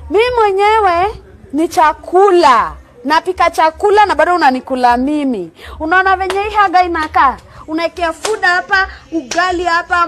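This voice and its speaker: A young woman with a Nairobi accent making a brash, boastful audition pitch in street slang. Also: a bit bright. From Kenya. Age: young adult. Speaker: reality show contestant. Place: outdoors by a metal door and block wall.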